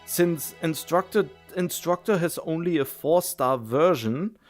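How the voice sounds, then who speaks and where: Higher-voiced man rambling on a game stream. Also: unhurried, faint music behind. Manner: rambling, self-correcting, musing. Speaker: video game livestreamer. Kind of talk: casual chat, thinking aloud about game strategy.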